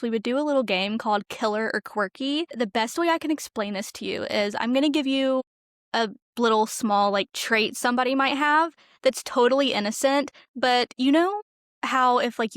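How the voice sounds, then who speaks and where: A young woman with a slightly high-pitched voice hosts a podcast. She speaks informally and conversationally, with a dry, close sound. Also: dry recording. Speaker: podcast co-host. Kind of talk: casual podcast chat.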